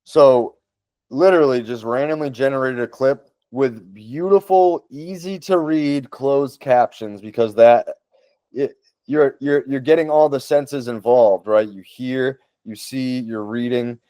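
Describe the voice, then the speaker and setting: A man's voice: medium pitch, unhurried, warm tone, no room sound. A man with a Boston accent, a podcast host walking through social media tools in casual talk. He speaks with enthusiasm and repeats words for emphasis.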